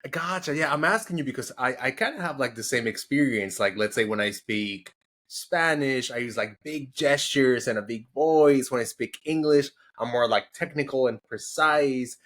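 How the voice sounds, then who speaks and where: A man with a mid-range voice hosting an interview. He talks in a loose, chatty way, full of "like" and "you know". His questions come out relaxed and conversational. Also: medium pitch, normal speed. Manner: casual and chatty, many "like"s. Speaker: podcast host.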